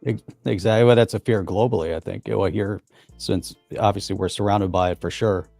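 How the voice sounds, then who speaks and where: A man on a casual live stream chat, rambling quickly with lots of fillers. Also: on the low side, fairly even intonation, vocal fry, a little mellow, no room sound, soft background music. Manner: fast rambling, many "you know" fillers.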